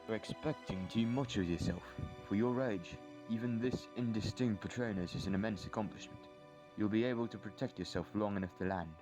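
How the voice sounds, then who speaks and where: A man playing a gentle, thoughtful schoolteacher in an audio drama, with a measured, kindly way of talking. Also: on the low side, music in the background, close to an everyday voice. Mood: kindly, encouraging. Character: gentle, thoughtful male schoolteacher mentoring a pupil. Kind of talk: fan audio drama acting.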